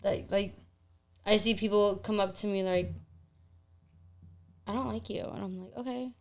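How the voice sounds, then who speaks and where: A woman's voice: medium pitch, steady tone, normal speed, creaky. A young woman, a podcast guest, chatting casually in a blunt, slangy way. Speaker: guest on a talk podcast, social media creator. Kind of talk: casual chat.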